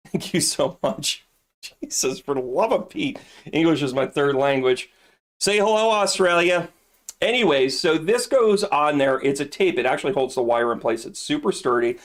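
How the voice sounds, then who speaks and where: A man's voice: on the high side, expressive, unhurried. A middle-aged man hosting a live stream from his shop, showing off products in a casual, joking, rambling way. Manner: joking, rambling, salesy asides.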